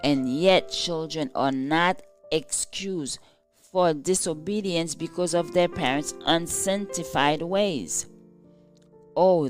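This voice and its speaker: A woman reading a scripted religious devotional in a measured, solemn and formal manner. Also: deep voice. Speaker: devotional reader. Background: soft instrumental music.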